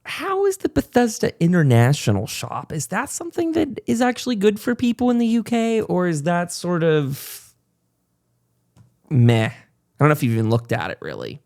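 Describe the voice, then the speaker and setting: A man's voice: high-pitched, very animated, moderate pace, darkish tone. An American man hosting a podcast, chatting casually in a wordy, rambling, conversational way. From United States. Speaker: talk show podcast host. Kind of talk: casual interview chat.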